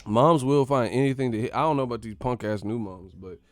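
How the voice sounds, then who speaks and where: A man's voice: mid-range voice, a little fast, no echo. A man hosting a boxing channel who rants in a slangy, profane way, laughing and mocking as he talks. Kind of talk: profane comedic vlog rant.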